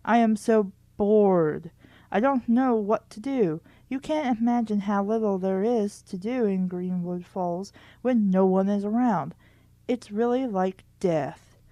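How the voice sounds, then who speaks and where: A woman's voice: mid-range voice, a little slow, dark tone, no room sound, lightly acted. A woman reading as a bored, chatty twelve-year-old girl who complains dramatically in her letters, in a storybook read-aloud. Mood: bored, sulky.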